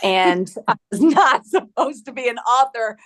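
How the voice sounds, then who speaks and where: A woman's voice: on the high side, lively intonation, measured pace, fairly bright tone, low-quality recording. A woman guest author chatting in a self-deprecating, laughing way. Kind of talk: casual chat.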